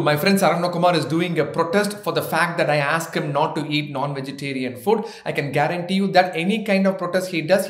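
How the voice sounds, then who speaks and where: Indian doctor in an echoey office. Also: male voice, a little high. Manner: gently joking, lists points one by one. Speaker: doctor, health channel host. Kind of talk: health advice to camera. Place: office with framed certificates, indoors. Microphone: seated, medium shot to camera.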